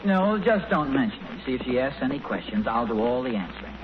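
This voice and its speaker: A man's voice: medium pitch, sing-song, brisk, close to an everyday voice. An American actor playing an amiable, flustered, hesitant father in a 1940s radio sitcom. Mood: conspiratorial. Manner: hesitant, stammering. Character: amiable, flustered sitcom dad with a conspiratorial streak. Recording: muffled, noisy 1940s radio broadcast.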